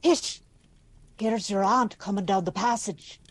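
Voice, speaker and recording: An actress playing a kindly, gossipy servant of a great house in an audio drama, talking in a folksy, confiding way. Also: female voice, medium pitch, a little slow, no echo, natural voice.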